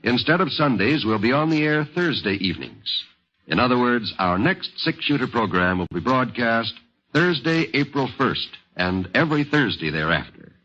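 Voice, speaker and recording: A man's voice: mid-range voice, very animated, moderate pace. A man announcing programs on 1950s network radio in a formal, dramatic scripted style. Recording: dull vintage radio recording. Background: loud music.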